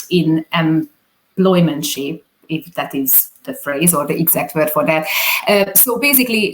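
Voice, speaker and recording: A woman's voice: on the low side, rough recording. A woman with a Hungarian accent, a non-native English speaker, answering interview questions hesitantly with many fillers. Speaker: guest, videographer.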